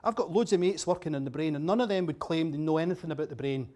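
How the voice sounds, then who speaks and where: A Scottish man with a fairly high voice gives a keynote at a dinner, chatty and quick with a joke. He keeps throwing questions at the audience. He is miked up in a venue with a bar. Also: darkish tone. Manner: chatty, jokey, quizzing the audience. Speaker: keynote speaker. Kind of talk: humorous after-dinner talk.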